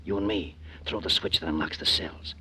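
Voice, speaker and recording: An actor playing a hard, suspicious convict leader in a 1940s radio crime drama, talking in terse, bossy gangster slang. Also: male voice, low-pitched, fairly even intonation, a little fast, close to an everyday voice. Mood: conspiratorial. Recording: noisy 1940s radio recording.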